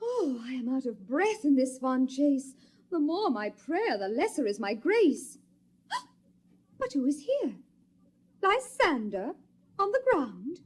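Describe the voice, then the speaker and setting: Tearful, pleading Helena. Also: female voice, high voice, very animated, takes it slowly, pauses often, full character voice. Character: lovelorn young woman Helena, pleading. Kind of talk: stage drama acting.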